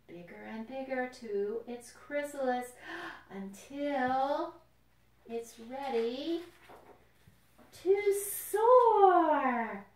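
A woman hosting a children's storytime, explaining nature to young listeners in simple, expressive language. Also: medium pitch. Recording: roomy echo, distant microphone.

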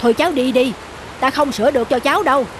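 An actor playing a gruff, curt, middle-aged bicycle repairman in a cartoon. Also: very high-pitched, quick pace, a bit bright, slight background noise, poor audio. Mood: grumpy. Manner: curt, grumbling. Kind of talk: cartoon dialogue.

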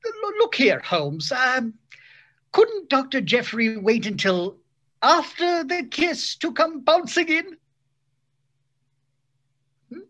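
A woman's voice: high voice, big pitch swings, slow, long pauses, a bit bright, full character voice. A woman playing a boastful, eager young aristocratic Englishman in old-time radio drama style. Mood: eager, sulky. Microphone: video call.

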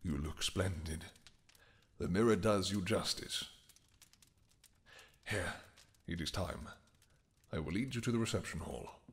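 A man playing a courteous royal attendant in a fantasy audio roleplay, gently reassuring and deferential. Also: deep voice, measured pace, long pauses.

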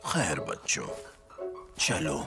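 A man voicing an old, wise male bear who tells stories slowly and kindly in a dubbed cartoon. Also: extremely low pitch, huge pitch swings, gravelly, music in the background, exaggerated voice. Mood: musing. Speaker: storyteller.

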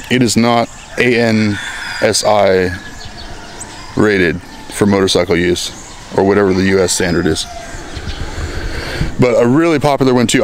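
An American man in his thirties talking to a handheld camera in a town plaza, rambling and joking in a casual vlog. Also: lowish voice. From United States. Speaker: vlogger. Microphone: handheld camera at arm's length. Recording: outdoor recording with clear street noise.

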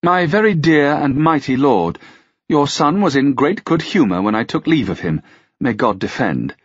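A man's voice: mid-range voice, sing-song, normal speed, slightly rough, no echo, pitched above the performer's own voice. A man doing a sweet-tongued lady courtier. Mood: flattering. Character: flattering young noblewoman courtier. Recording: dull, dry, lo-fi sound.

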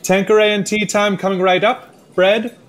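Actor playing a fussy, swooping, pretentious waiter. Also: male voice, mid-range voice, lively intonation, unhurried, close to an everyday voice. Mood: fussy, insistent. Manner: pompous, florid, stammering. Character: fussy, pretentious, flustered male steakhouse waiter. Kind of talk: radio play comedy acting.

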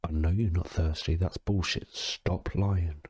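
A tipsy man with a very low, husky voice reads like he is telling a bedtime story. He goes slowly and deadpan, with casual swearing, in a close, dry sound. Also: dry recording. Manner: slow, sweary, deadpan, stumbling over words. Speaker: story reader. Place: alone in a quiet, dry-sounding space.

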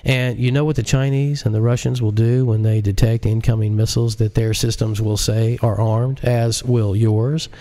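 A man voicing a smug, menacing male computer genius, calm, deliberate and condescending, in an audiobook. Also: medium pitch, close to an everyday voice. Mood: smug menace. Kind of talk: audiobook character acting.

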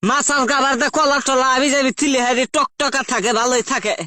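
A man's voice: very high voice, steady tone, brisk, pushed and bright, no echo. A man playing a poor, stubborn old village woman in a comic phone skit, plaintive and rambling, with a rural eastern Bengali accent. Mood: indignant, insistent.

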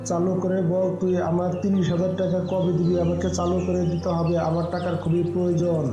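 A woman's voice: on the low side, monotone, brisk, lightly acted. A woman voicing a rude, impatient crow moneylender who bullies debtors in a children's cartoon. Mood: threatening. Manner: rude, bullying, demanding. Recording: roomy echo, faint music.

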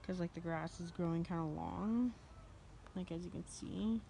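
A woman's voice: on the low side, moderate pace, vocal fry, mellow, a little hiss or hum. A young woman chatting casually and rambling in an informal vlog, recorded on a handheld phone. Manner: informal, rambling, talks to a pet. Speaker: vlogger.

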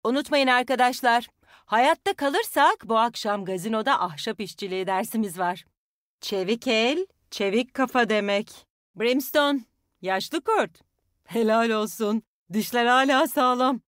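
Casting: young female game fighter and healer, high pitch, plucky, folksy, confident, very dry studio sound. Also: measured pace, a bit bright, no room sound. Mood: warm, cocky. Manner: folksy, confident, spirited team talk. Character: spirited tough young Australian woman fighter, nature-loving healer. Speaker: game character voice actor. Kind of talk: video game voice lines, short battle call-outs.

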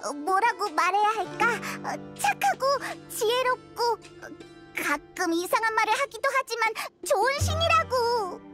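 Tiny chirpy fairy girl, very high and bouncy, game dub. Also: animated, over music. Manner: bouncy, quick, talkative. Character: tiny floating female fairy companion, chirpy and excitable.

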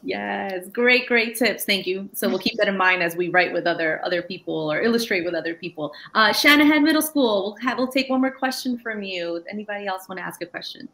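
A woman's voice: mid-range voice, animated, a little fast, few pauses, fairly bright tone. A woman moderating a virtual book club, enthusiastic and playful, thanking guests and calling on students over a video call. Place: room with bookshelves, video call. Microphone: webcam or laptop mic on video call.

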